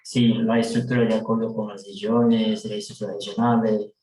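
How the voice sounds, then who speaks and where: Brazilian-accented man, low and monotone, hesitant group report over a roomy video call. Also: a crowd in the background. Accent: Brazilian Portuguese. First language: Portuguese. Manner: hesitant, many drawn-out fillers. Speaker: participant reporting for a working group.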